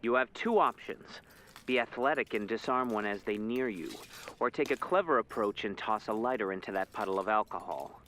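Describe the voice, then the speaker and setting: An actor playing an alert, earnest male spy handler in an interactive audio game, giving directives in a crisp, urgent manner. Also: lowish voice, a little fast, fairly bright tone, a little hiss or hum, lightly acted. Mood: guiding. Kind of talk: scripted interactive game acting.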